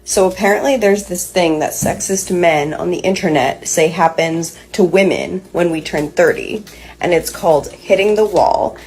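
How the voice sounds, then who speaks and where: A woman's voice: lowish voice, normal speed, a little mellow, rough recording. A thirty-year-old woman wryly explaining an online idea to camera. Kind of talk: casual video commentary.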